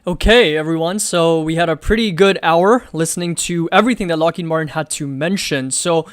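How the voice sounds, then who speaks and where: Higher-pitched man, close dry sound. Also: brisk, smooth, dry recording. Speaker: livestream host and stock analyst. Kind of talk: live financial analysis commentary.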